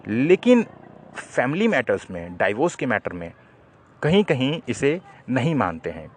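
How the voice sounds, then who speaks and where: A man's voice: medium pitch, big pitch swings, measured pace, slightly rough. Man explaining law. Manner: didactic, poses questions then answers them. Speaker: legal explainer, presenter. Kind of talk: explanatory legal talk to viewers.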